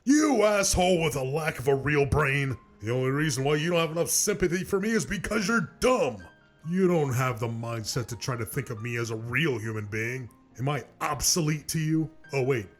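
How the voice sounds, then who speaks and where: Man playing an animated, aggrieved cartoon loudmouth. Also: medium pitch, moderate pace, soft background music, lightly acted. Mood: indignant. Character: aggrieved, defensive male loudmouth in a feud. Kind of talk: scripted comic argument in web animation.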